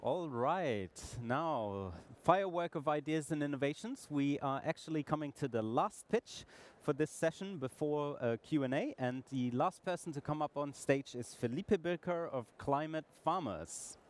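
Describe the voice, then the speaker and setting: A man moderating a pitch session, brisk and keeping to time. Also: expressive, slight background noise.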